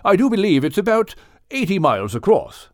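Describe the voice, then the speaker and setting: A genial whale of the old British gentlemanly sort, acted by a male audiobook reader. The speech is courteous and old-fashioned, with animated swings in pitch. The sound is dry and storybook-clear. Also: a little fast, no echo. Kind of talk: audiobook character acting.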